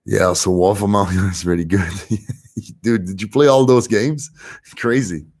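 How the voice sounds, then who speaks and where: A young man with a French accent, his first language being French, hosts a relaxed livestream, chatting in English to his viewers. His voice sits a little low, and he talks in an easygoing, chatty way with soft laughs. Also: measured pace. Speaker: livestream host reading chat. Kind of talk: casual livestream chat.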